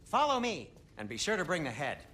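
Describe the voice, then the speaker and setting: An actor playing a fussy, eager, fastidious dwarf craftsman in a video game, chatty and theatrical in manner. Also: on the high side, wildly swooping pitch, noisy background. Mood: chatty, helpful. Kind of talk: video game character acting.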